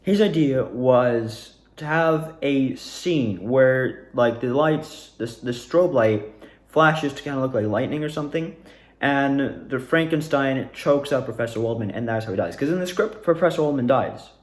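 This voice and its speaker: A young man talking casually and loosely, recapping his experiences in an animated, rambling storytelling manner. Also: mid-range voice, measured pace. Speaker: amateur student actor reviewing own performances. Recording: roomy, echoey indoor sound.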